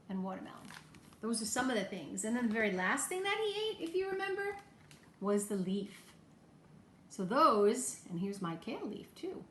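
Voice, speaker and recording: A woman teacher talking to young children in a homemade lesson video, encouraging them with simple questions and explanations. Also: mid-range voice. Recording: echoey room, faint background noise.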